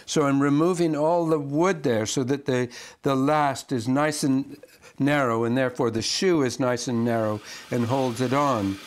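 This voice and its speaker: An older man who carves shoe lasts, explaining his work calmly and step by step with frequent restarts while he cuts wood at a band saw in his workshop. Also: mid-range voice, moderate pace. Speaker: shoemaker and last carver. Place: workshop, indoors, next to a running band saw. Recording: clear power tool noise behind the voice.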